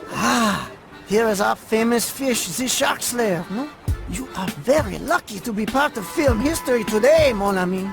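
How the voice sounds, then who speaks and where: A man playing a pompous, excitable French film director fish in a video game, theatrical, with music behind. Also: high-pitched, unhurried, creaky, full character voice. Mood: grandiose.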